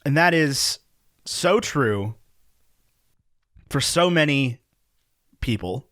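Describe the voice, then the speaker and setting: A man's voice: medium pitch, drawn-out words. A man co-hosting a podcast in casual, jokey banter. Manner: jokey, animated, playful banter. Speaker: podcast co-host. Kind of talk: casual chat.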